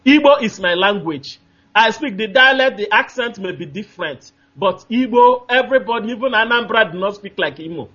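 Nigerian-accented male caller, bright tense tone, insistent and repetitive, muffled phone-line sound. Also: high-pitched, animated, normal speed. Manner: emphatic, repetitive, gropes for names with fillers. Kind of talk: call-in radio talk.

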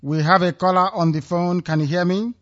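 A male call-in radio host with a slightly raised pitch, heard through dull, lo-fi audio. He speaks firmly and declares his points. He keeps calling out to the callers on the line. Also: fairly even intonation, fairly quick, a bit bright. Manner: firm, declaring, repeatedly hailing callers.

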